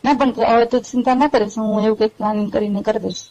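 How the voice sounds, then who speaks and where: A woman's voice: on the high side, steady tone, fast, dark tone. A woman playing an anxious middle-aged married woman pleading with her young lover, hesitant and repetitive, in a staged phone-call drama. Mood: pleading, reassuring. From India. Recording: dull lo-fi phone call recording.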